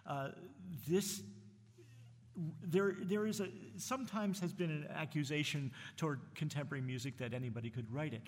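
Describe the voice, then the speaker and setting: A husky, slightly high-voiced man from New Jersey hosts a live concert, stopping and restarting often as he talks up the music. His voice carries a long hall reverb. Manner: halting, full of fillers and restarts, enthusiastic. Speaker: concert host. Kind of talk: casual spoken introduction between concert pieces.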